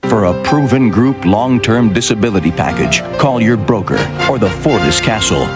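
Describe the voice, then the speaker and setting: A man reads a polished commercial script in a slightly deep voice. Loud music plays under the announcement. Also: expressive, normal speed. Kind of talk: scripted advertisement narration.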